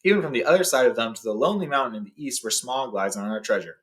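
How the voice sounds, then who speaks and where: A man's voice: medium pitch, racing, close to an everyday voice. A man reading the part of a seasoned male dwarf adventurer in a storybook, explaining things matter-of-factly.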